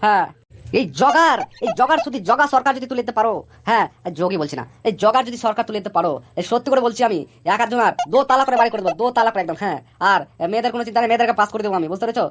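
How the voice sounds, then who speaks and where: A woman's voice: a little high, racing, lightly acted. A woman voicing a boastful, scheming male election candidate in a comic cartoon, speechifying in a blustering, repetitive way. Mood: insistent, boastful.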